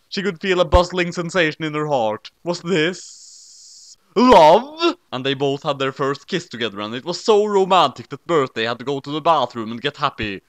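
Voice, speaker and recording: A man's voice: fairly high pitch, fairly bright tone, dry recording. A man doing a comedic, theatrical dramatic reading of a story, with exaggerated emphasis. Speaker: storyteller narrator. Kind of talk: comedic dramatic reading of a parody fan fiction.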